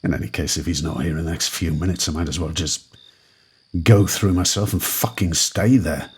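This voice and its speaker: A husky, scheming debtor. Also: male voice, deep voice, normal speed. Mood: brooding. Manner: stammering, pleading. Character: nervous, desperate man in debt, scheming. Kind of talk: audio drama acting.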